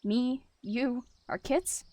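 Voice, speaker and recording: A woman's voice: fairly high pitch, drawn-out words, warm tone, no room sound, pitched above the performer's own voice. A woman voices a big, brooding warrior tomcat in an animal roleplay. He growls and mutters with bitter intensity. The sound is close and dry. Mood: earnest, hushed. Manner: growling, snarling, muttering delivery. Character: brooding, bitter male warrior cat deputy, large tom.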